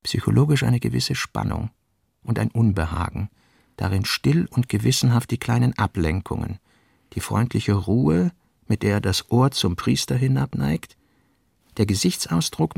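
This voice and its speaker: A man narrating a literary radio drama, reading fragmentary notes in measured, clipped, deliberate phrases. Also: medium pitch, animated, vocal fry, a little mellow, breathy edge, no room sound, studio quality.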